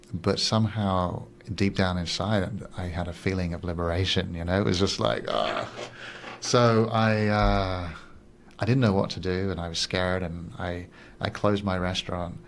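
A Swiss-born man in his thirties, a guest coach on a radio interview, speaking in a soft, reflective way and often repeating words as he gathers his thoughts. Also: low voice, moderate pace, slight background noise. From Switzerland.

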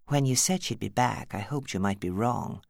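A woman's voice: extremely low pitch, expressive, normal speed, creaky, darkish tone, no room sound, studio quality, heavily acted. Woman acting a feisty, scolding Irishwoman of fifty. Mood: exasperated. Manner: scolding, exclamatory, forthright. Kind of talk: audiobook character acting.

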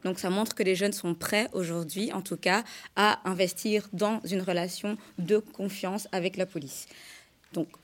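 A woman's voice: medium pitch, fairly even intonation, a little slow. A young woman presenting a study at a panel, explanatory and structured, often saying "donc" and "euh". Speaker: youth organisation vice-president.

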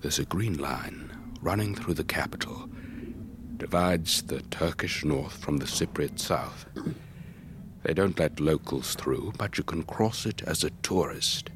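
An actor playing an elderly English retired air force officer, telling his story in a measured, wistful, old-fashioned way for a radio drama. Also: extremely low pitch, a bit bright. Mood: wistful, reminiscing. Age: older. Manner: measured, old-fashioned, reflective, breathy trailing phrases. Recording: faint background noise.

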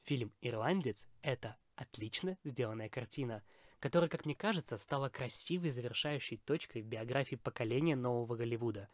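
A man's voice: medium pitch, fairly quick, no echo. A man hosting a podcast, reading a scripted, opinionated film review in formal phrasing.

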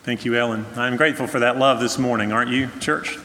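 A man serving as pastor, giving a measured, earnest sermon to his congregation, with frequent fillers. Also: mid-range voice, fairly even intonation, bright, forceful tone, a little hiss or hum. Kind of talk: sermon with pastoral prayer concerns. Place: church sanctuary. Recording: long church reverb.

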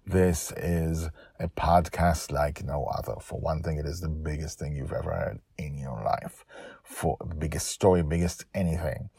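A man's voice: very deep voice, fairly even intonation, normal speed, gravelly, dark tone, husky, no room sound. A man hosting a storytelling podcast, talking and narrating in an emphatic way that repeats phrases for emphasis. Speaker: podcast host and author-narrator.

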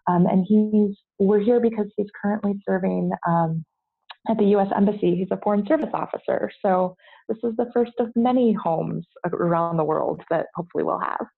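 A woman's voice: medium pitch, a little fast, vocal fry, slightly rough, phone-quality sound. An American woman telling her personal story as a podcast guest, candid and hesitant, often correcting herself as she goes. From United States. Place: at home, remote podcast call.

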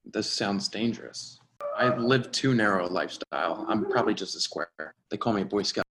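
A man playing an earnest, straitlaced company man in a screenplay read, halting and apologetic. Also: lowish voice, heavy background noise, close to an everyday voice. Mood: uneasy.

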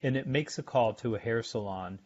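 A man's voice: mid-range voice, moderate pace, highs cut off. A man speaking as a guest expert in a podcast interview, explaining things conversationally in short, emphatic, instructive phrases.

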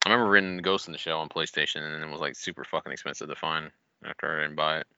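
A man's voice: very deep voice, level tone, very fast, sharp tone, smooth, no echo, muffled recording. A man co-hosting a gaming podcast with playful, sweary, casual banter. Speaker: podcast co-host. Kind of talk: casual joking gaming chat.

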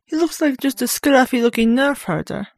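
A man's voice: very high voice, normal speed, gravelly, no echo, studio quality, voice pushed up, exaggerated voice. A man voicing a mocking young female Sith villain with a snide, teasing delivery.

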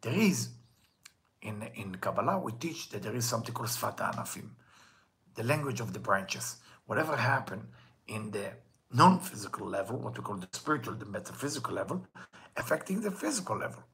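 Hebrew-accented guy teaching kabbalah, gravelly and bright, voice rises and falls a lot. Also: on the low side, sing-song, fairly quick. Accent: Israeli Hebrew. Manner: earnest, rhetorical questions, switches into Hebrew quotes. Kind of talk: weekly Torah lesson.

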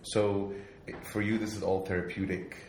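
Male interview host, very low voice, rambling delivery, echoey room. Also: level tone, normal speed, a little mellow, a little hiss or hum. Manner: rambling, restarts phrases often. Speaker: podcast host. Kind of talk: casual interview.

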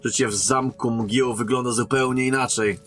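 An actor playing a courteous, reflective captain and royal emissary in a fantasy audio drama, speaking in a measured way. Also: male voice, medium pitch, fairly even intonation, fairly bright tone, faint music behind, close to an everyday voice.

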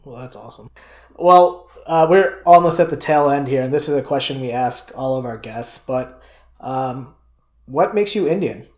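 A man co-hosting a podcast, asking questions in a relaxed, soft-spoken way and laughing along. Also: mid-range voice, moderate pace. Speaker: podcast co-host. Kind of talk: casual interview questions.

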